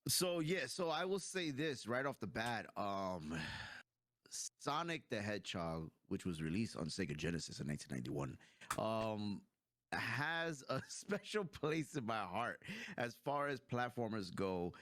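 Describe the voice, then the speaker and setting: A man's voice: fairly low pitch, sing-song, unhurried, fairly bright tone, dry recording, clean studio sound. A man giving his opinions as a guest in a casual movie review chat, explaining his points as he goes.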